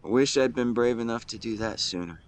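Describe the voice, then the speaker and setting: A man playing a shy young man in love in a romantic audio roleplay, speaking in an earnest, halting, emotional way. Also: mid-range voice, a little hiss or hum, close to an everyday voice. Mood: relieved, wistful. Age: young adult. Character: shy young man tenderly confessing love to best friend.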